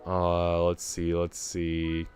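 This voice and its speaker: A man's voice: low voice, monotone, extremely slow, darkish tone. A man chatting casually on a game livestream in an informal, slangy, rambling way. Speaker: game streamer. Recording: music playing behind the voice. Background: music.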